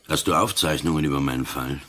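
A man's voice: a bass voice, quick pace, a bit bright, husky, heavily acted. A man playing an ailing old police inspector, dry, terse and probing, in a radio drama. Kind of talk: German-language radio drama acting.

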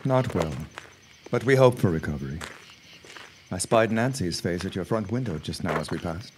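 An actor playing an earnest, trusting 1910s flower grower in a radio drama, with formal, old-fashioned phrasing. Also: male voice, low voice, expressive, normal speed, slight background noise. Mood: subdued. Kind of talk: period radio drama acting.

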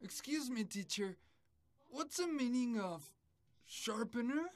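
A woman's voice: fairly high pitch, animated, no echo. A woman voicing a polite, careful young student puppet in scripted educational dialogue. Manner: very deliberate. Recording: faint noise behind dialogue.

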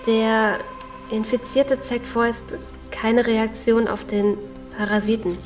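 Survivor role, actress: serious, defiant woman in horror audio drama, grave delivery, clear music underneath. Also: fairly high pitch, flat delivery, slow, darkish tone. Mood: grave reading. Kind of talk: German audio drama acting.

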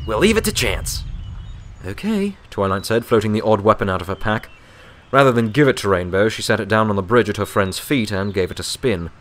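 A man's voice: on the low side, fast, fairly bright tone, a little hiss or hum. A man reading scripted fiction as a story narrator.

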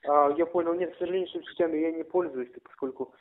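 A man's voice: on the high side, steady tone, quick pace, no echo. A man chatting casually on a phone call about a rental, in a wordy, repetitive way full of filler phrases. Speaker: man offering a flat for rent by phone. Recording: muffled narrowband phone-call audio.

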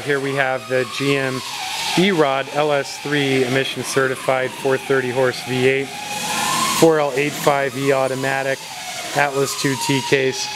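Man at an average pitch walking a camera through his car shop, casual and technical, with clear workshop noise behind. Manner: relaxed, technical, filler-heavy. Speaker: custom vehicle shop owner giving a tour. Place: large indoor workshop and showroom.

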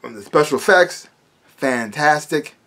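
A man's voice: on the high side, lively intonation, measured pace, fairly bright tone. An American man in his thirties giving a casual, emphatic movie review to camera, often repeating his words, recorded in a small room at home.

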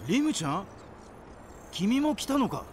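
A man playing a cool, gallant, rough-spoken young adventurer in an animated game cutscene. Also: sits high, measured pace, a little hiss or hum. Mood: concerned.

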